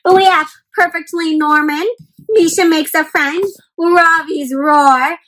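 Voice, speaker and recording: A woman's voice: sits high, slow, poor audio. A woman hosting an interactive read-aloud for children, speaking expressively and encouragingly, straight to her young listeners. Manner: animated, exaggerated expression with frequent questions to young listeners; addresses listeners directly as friends, encouraging. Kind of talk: interactive picture book read-aloud for kids.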